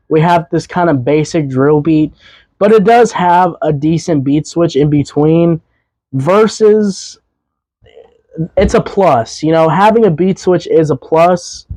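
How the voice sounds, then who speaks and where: A young man with a somewhat high voice, a little hoarse from a worn-out throat. He rambles loosely through his opinions with plenty of fillers and restarts. The sound is dry and close, with no room around it. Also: darkish tone, dry recording, rough recording. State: voice slightly hoarse. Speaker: podcast host and music reviewer. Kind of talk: casual music review monologue.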